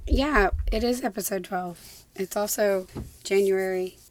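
A young woman, hoarse from laryngitis, chatting casually as podcast co-host and laughing often. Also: medium pitch, unhurried, no echo, poor audio. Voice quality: raspy. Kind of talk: casual chat. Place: small closet in a home.

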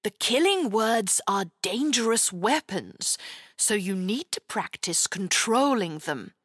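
A woman plays a tiny, earnest knight from a children's fairy tale. The delivery is gallant and solemn, with flustered moments, very animated intonation and a measured pace, in a close, dry recording. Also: medium pitch, breathy edge, no echo, full character voice. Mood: grave, gentle.

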